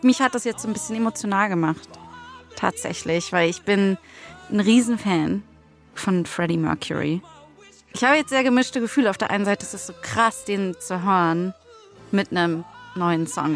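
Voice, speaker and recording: A woman with a mid-pitched voice, chatting informally as a co-host. She thinks aloud in an unscripted creative discussion, with faint music at times. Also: fairly bright tone. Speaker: podcast co-host and radio play writer.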